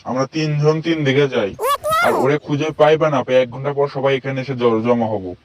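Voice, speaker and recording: A man's voice: medium pitch, a little fast, dull audio, heavy background noise, close to an everyday voice. A man voicing a plain-spoken young adventurer, using colloquial in a matter-of-fact way in a cartoon. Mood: organising. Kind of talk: amateur Bangla cartoon dubbing.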